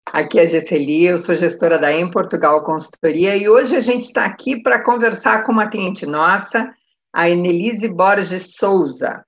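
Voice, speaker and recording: Brazilian woman hosting, dull lo-fi sound. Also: medium pitch. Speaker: host, consultancy manager interviewing a client. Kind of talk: live online interview. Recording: dull, lo-fi online call.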